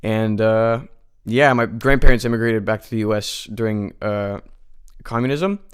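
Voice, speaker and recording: Young man, nineteen, from the US, smooth clear voice, casual chatty guest, lots of ums and restarts. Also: lowish voice, normal speed. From United States. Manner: chatty, many fillers and restarts. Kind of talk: casual interview chat. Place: radio studio.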